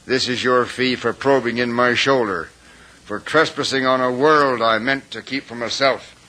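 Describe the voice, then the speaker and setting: A man's voice: mid-range voice, steady tone, normal speed, sharp tone, natural voice, low-quality recording. An actor playing a bitter, world-weary man guarding hidden gold, with sardonic, theatrical phrasing in old-time radio drama style. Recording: old radio recording with faint hiss.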